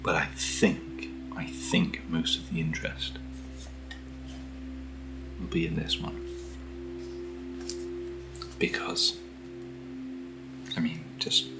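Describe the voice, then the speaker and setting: British man, low voice, soft whispery ASMR chat, unhurried, gently rambling, music underneath. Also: little pitch movement, rough recording. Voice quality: breathy, whispery. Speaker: host of ASMR unboxing videos.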